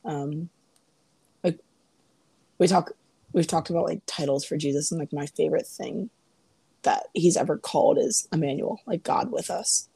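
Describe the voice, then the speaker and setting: A young woman co-hosting a casual faith podcast, her voice raspy and hoarse from having lost it. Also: deep voice, moderate pace, long pauses, no room sound. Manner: laughs often, relaxed and chatty. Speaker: podcast co-host. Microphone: home podcast mic.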